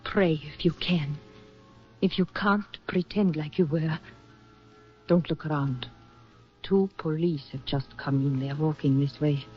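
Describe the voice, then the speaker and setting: A woman's voice: low-pitched, fairly even intonation, a little slow, pauses often. A woman playing a protective young woman sheltering a hunted man in a 1940s radio drama, controlled and urgent. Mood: hushed, urgent. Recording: muffled vintage radio with music bed.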